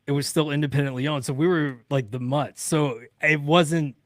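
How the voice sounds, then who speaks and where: A man talking as a radio-industry guest, telling casual anecdotes in an animated, unguarded, salty-tongued way. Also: on the high side, normal speed, no echo, studio quality. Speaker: radio broadcaster, podcast guest.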